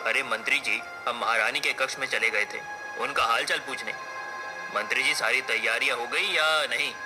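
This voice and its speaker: A man's voice: medium pitch, moderate pace, over music, close to an everyday voice, low-quality recording. A man playing a proud king in a cartoon folk tale, with formal, regal phrasing. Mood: commanding. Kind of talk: cartoon story acting.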